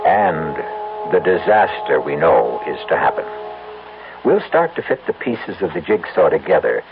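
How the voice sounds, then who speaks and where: A man with an American accent hosting and narrating a radio mystery in a measured, wry, theatrical way. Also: sits low, big pitch swings, vocal fry, narrow, tinny audio, background noise. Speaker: host and narrator. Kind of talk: dramatic scripted radio narration. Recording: band-limited old radio, music behind.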